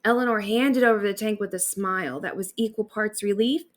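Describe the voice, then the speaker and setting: A woman reading a bedtime story aloud as an expressive storyteller. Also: mid-range voice, brisk.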